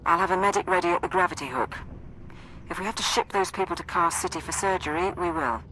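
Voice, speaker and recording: A woman voicing a brisk, practical military support officer in a video game, crisp and businesslike. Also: on the low side, sharp tone, a little hiss or hum, low-quality recording. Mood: brisk, urgent. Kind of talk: scripted video game acting.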